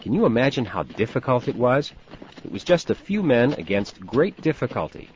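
An actor playing an easygoing rancher who tells stories about the Old West in a scripted language-course dialogue. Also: male voice, mid-range voice, sing-song, normal speed, darkish tone, a little hiss or hum, natural voice. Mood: storytelling. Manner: easygoing, storytelling. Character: friendly older male rancher recounting Old West history. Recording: dull lo-fi course audio.

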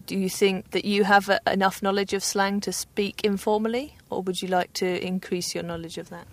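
A woman radio presenter interviewing a learner and narrating in a clear, measured way. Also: medium pitch, level tone.

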